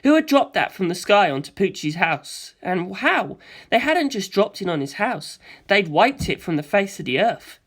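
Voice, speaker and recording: Lively, expressive audiobook narrator. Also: mid-range voice, moderate pace. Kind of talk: expressive first-person story narration.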